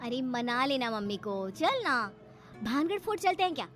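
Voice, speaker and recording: High-pitched young woman, acted by a female narrator, bold, pushy, exclaiming, very animated, horror cartoon, music behind. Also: normal speed. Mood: eager, pushy. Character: excitable young woman Seema, bold, pushy, thrill-seeking friend. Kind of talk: animated horror story character acting.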